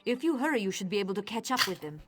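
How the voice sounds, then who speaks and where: An actress playing an easygoing but dismissive town guard in a fantasy video game, brisk and dryly sarcastic. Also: female voice, mid-range voice, very animated, faint music behind, natural voice. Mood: dismissive, wry.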